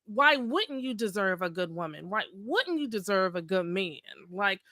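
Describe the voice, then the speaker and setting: A woman's voice: mid-range voice, lively intonation, moderate pace, bright, forceful tone, no room sound, clean studio sound. A woman co-hosting a podcast in casual conversation, speaking in an emphatic, colloquial way. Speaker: podcast co-host.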